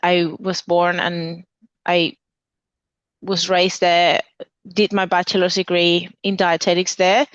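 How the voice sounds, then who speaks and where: A woman in her thirties from Venezuela talks earnestly through her points at an unhurried pace, often restarting her sentences. Her voice is smooth and clear. The recording is dry and a little dull. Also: lowish voice, level tone, dry recording. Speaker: dietitian guest. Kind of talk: conversational interview answer.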